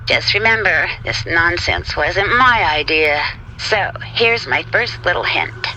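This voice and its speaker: A man playing a reluctant, sarcastic studio employee in a video game audio log, self-mocking in manner. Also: on the high side. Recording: clear background noise.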